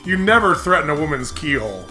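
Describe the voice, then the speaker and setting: A man hosting a let's play, giving excitable, joking commentary on a game. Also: on the high side, fast, music in the background. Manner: excitable, laughs often. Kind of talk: joking game commentary with dialogue read aloud.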